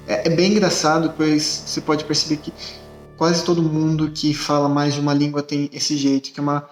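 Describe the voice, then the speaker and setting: A young man, talking casually about himself to the camera and pausing often with fillers. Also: a little high, monotone. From Brazil. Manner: hesitant, many filler "é" and restarts. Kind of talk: casual self-introduction to camera. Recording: echoey room with faint music.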